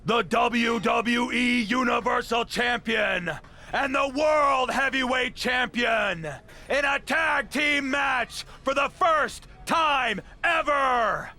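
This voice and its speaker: A brash wrestling showman in a game cutscene. He shouts his grand announcements slowly in a very high, gravelly, piercing voice, stretching the words out. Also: faint music behind, exaggerated voice. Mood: triumphant hype.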